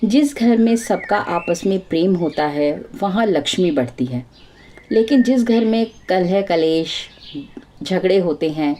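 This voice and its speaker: A woman's voice: lowish voice, animated, measured pace, warm tone, a little hiss or hum. A woman storyteller narrating a scripted moral folk tale.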